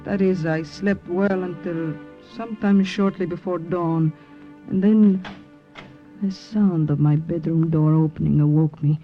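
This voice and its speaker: A woman's voice: lowish voice, steady tone, a little slow, lo-fi sound, natural voice. An actress playing a brave, guarded young female spy, narrating and acting in a vintage radio drama. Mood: reflective, tense. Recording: old radio recording with music behind.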